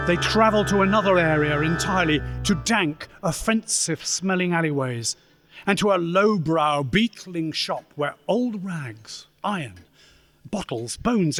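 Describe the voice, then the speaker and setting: A man performing as the narrator of a radio play, solemn and measured in his dramatic storytelling. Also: high-pitched. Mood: ominous.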